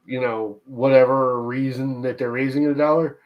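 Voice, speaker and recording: A man's voice: mid-range voice, fairly even intonation, normal speed, lo-fi sound. A man in a casual group call, ranting animatedly and mocking how corporations talk.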